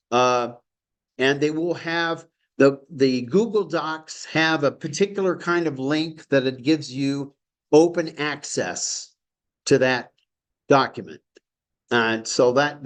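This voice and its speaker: A man teaching an online study class, enthusiastic and rambling, with frequent chuckles. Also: on the high side. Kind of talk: informal lecture on a call.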